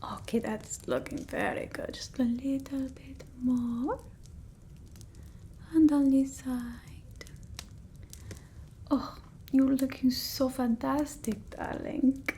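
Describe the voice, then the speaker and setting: A woman's voice: high voice, steady tone. A woman voicing a fussy, condescending female vampire in ASMR roleplay, mostly whispered, close to the mic. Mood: doting, fussy. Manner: halting, fussy, gently bossy, blunt. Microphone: close ASMR microphone.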